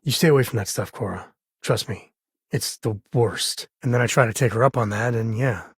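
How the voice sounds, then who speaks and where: Really raspy space cowboy dad for a game, wry n folksy, caring, super dry close sound. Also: male voice, medium pitch, normal speed, no room sound. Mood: stern, protective, then casual, wry. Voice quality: husky, raspy. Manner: folksy drawl-like phrasing, wry and conversational. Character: rugged, wry, caring space-frontier explorer and protective father. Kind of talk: video game companion dialogue.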